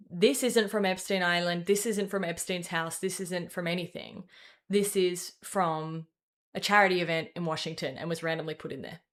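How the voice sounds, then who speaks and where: A young woman hosting a casual political commentary show, talking in a rambling way with swearing and quick self-corrections. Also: on the low side, a bit bright. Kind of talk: casual opinion commentary to camera.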